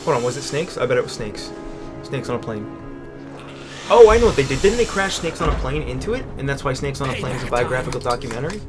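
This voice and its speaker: A man's voice: medium pitch, expressive, fast, over music. A man co-hosting a casual video game let's-play, who teases his partner and explains what he is doing in the game. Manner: playful, teasing, explains gameplay. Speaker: co-host of a gaming channel.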